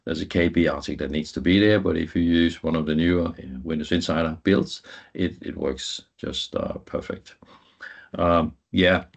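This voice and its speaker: Low-voiced man, halting technical presenter. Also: fairly even intonation, creaky. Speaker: guest presenter, IT professional. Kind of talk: informal technical presentation over slides.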